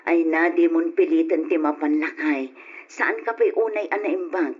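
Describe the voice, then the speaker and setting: A woman's voice: low voice, normal speed, breathy edge. An actress playing a caring, fretful older rural wife and mother, fussing and coaxing in an Ilocano radio drama. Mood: concerned, caring. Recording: lo-fi old radio, background hiss.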